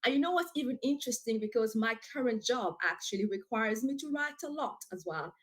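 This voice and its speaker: Animated woman from DR Congo. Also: on the high side, brisk. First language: French. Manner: animated and talkative, with frequent fillers and laughs. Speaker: author and guest. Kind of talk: casual interview.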